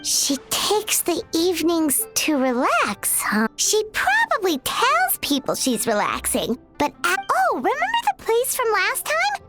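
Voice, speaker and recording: A woman voicing a small, childlike, excitable fairy companion in a video game. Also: extremely high pitch, unhurried, hardly any pauses, over music, heavily acted. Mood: curious. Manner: refers to self in third person, exclamatory.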